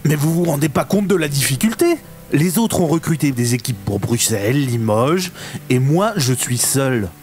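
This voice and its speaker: An aggrieved adventurer acted by a man who complains about being left alone, in a comedy audio drama. His voice is of middling pitch. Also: expressive, moderate pace, a little mellow, close to an everyday voice.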